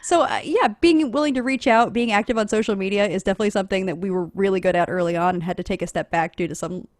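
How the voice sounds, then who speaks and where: A woman's voice: medium pitch, quick pace, no echo, clean studio sound. A woman podcaster answering casually on a panel in an informal, self-deprecating manner.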